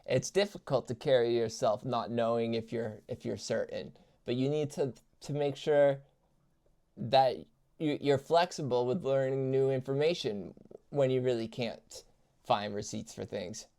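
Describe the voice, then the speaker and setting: A man's voice: mid-range voice, fairly even intonation, unhurried, dark tone. A man streaming a reaction to a video, talking casually to his chat with frequent restarts and fillers.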